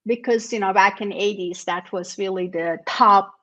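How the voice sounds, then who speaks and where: A woman's voice: mid-range voice, fairly even intonation, moderate pace, fairly bright tone. A middle-aged woman author from Turkey, talking thoughtfully in an interview with many fillers and easy laughs. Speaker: author and guest. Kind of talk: conversational interview.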